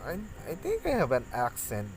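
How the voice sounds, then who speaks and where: A man's voice: mid-range voice, over music. Man casting an esports match. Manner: halting, frequent restarts, bursts of excitement on big plays. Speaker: esports caster. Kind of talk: practice esports play-by-play commentary.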